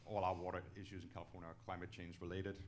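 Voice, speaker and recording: Low-voiced, emphatic man from Denmark. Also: monotone, racing. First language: Danish. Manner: emphatic, ends points with "okay?". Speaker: company executive, guest speaker. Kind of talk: on-stage interview, earnest advocacy. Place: auditorium stage, indoors. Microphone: handheld microphone.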